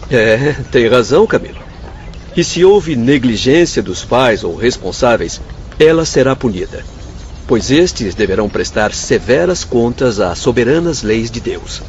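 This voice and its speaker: A man's voice: medium pitch, animated, a little slow, natural voice. A man acting an earnest spirit doctor in a radio drama, compassionate but stern, formal and moralizing. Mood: grave, moralizing. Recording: dull lo-fi audio with faint ambient noise.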